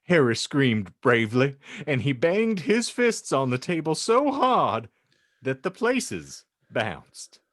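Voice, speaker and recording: A man hosting a comedy podcast, reading fan fiction aloud in a mock-dramatic, teasing, deliberate way. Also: medium pitch, wildly swooping pitch, a bit bright, no echo, studio quality. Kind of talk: mock-dramatic audiobook narration with joking banter.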